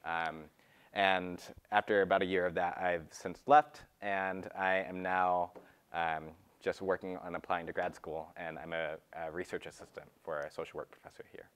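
A man in his twenties from Texas, introducing himself on a panel in a measured way with many fillers. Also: low voice, level tone, fairly bright tone, smooth. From Texas, USA. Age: 20s. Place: large meeting room.

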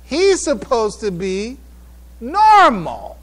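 A man giving a religious public address, halting and repeating phrases for emphasis. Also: very high voice, huge pitch swings, a bit bright. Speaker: speaker addressing a mosque congregation.

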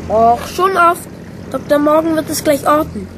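Brave, keen little aristocrat boy, high voice, radio drama, heavy background noise. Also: expressive, moderate pace. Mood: excited, cheerful. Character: eager young boy, brave son of a lord. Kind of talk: German radio play acting. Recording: loud background noise over studio drama.

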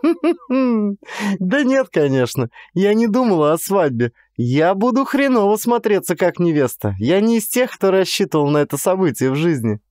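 An expressive young woman played by a male narrator in an audiobook, pitched up high with lively swings. She is playful and teasing, yet tender and emotional with her lover. The sound is dry and close. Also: normal speed, no room sound. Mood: amused. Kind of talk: audiobook character dialogue.

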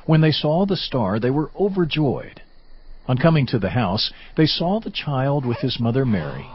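A man narrating a dramatized Bible in a measured, formal scripted style. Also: medium pitch, breathy edge. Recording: slightly dull audio over music.

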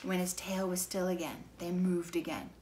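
A middle-aged woman narrating a children's book in a measured, dramatic way, recorded indoors by a camera a few feet away. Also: on the low side, little pitch movement, darkish tone. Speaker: storybook reader. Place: living room, indoors. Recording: noisy living-room camera recording.

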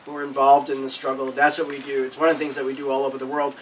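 A man's voice: mid-range voice, monotone, very fast, a bit bright. A middle-aged man moderating a panel discussion in a conference room, speaking emphatically. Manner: emphatic, gestures broadly. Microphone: table mic. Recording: distant mic, faint noise.

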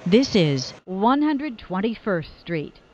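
A woman transit announcer reading scripted train announcements in a measured, formal, stitched-together way. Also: medium pitch, sing-song, a little hiss or hum. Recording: dull lo-fi announcement recording.

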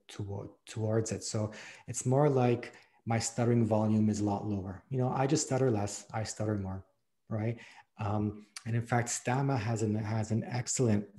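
A man's voice: fairly low pitch, mellow. A man in his forties who stutters openly, hosting a casual interview and wandering onto tangents with frequent laughs. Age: 40s. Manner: rambling, tangent-prone, laughs often. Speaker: podcast host and stuttering advocate.

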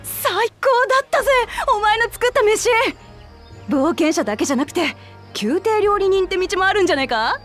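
A woman playing a brash, hearty young female adventurer in a Japanese video game, with rough, boyish, blunt speech. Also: extremely high pitch, sing-song, a little fast, fairly bright tone, exaggerated voice. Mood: hearty, delighted. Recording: background music under the voice.

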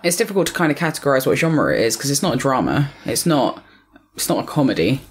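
A woman's voice: extremely low pitch, very animated, fast, breathy edge. A young woman co-hosting a podcast, chatting casually about films. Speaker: podcast co-host. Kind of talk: casual film review chat.

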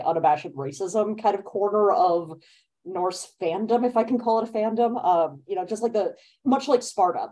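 A young adult woman talking on a video call from her bedroom, lively and quick to laugh as she leads the discussion. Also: lowish voice, rough recording. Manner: lively, laughing, speeds up when animated. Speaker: author and event moderator. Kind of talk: casual conversation on a video call. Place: bedroom at home. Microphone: laptop webcam mic on video call.

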